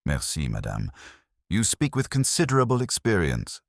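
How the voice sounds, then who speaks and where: Man reading a fastidious detective: very deep, expressive, close and dry. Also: creaky, dry recording, heavily acted. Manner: precise, probing, formal. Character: fastidious Belgian male detective, middle-aged.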